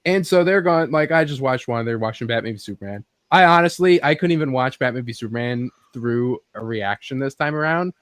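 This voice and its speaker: A man's voice: medium pitch, animated, a little fast, few pauses, a bit bright, no echo. Man, mid-range voice, casual podcast talk, rambling, sweary, dry sound. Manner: rambling, profane, heavy use of "like". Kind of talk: casual chat.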